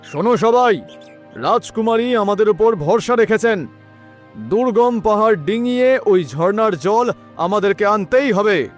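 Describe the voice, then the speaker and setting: Guy doing a loyal commander in a cartoon, dutiful, brave, kinda high voice, music low behind. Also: measured pace. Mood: rallying. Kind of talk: animated fairy-tale story dialogue.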